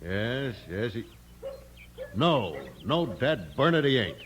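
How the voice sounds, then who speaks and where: An actor playing a worried rancher father with a folksy, halting delivery in a 1950s radio western. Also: medium pitch, big pitch swings. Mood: worried, frustrated.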